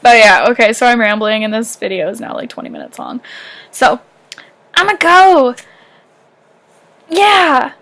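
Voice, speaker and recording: A woman's voice: fairly high pitch, wildly swooping pitch, a little slow, long pauses, a bit bright. A young woman from southern Ontario with a light Canadian accent, rambling through a casual personal vlog. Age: young adult. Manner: rambling, heavy use of fillers like "um" and "like". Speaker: vlogger.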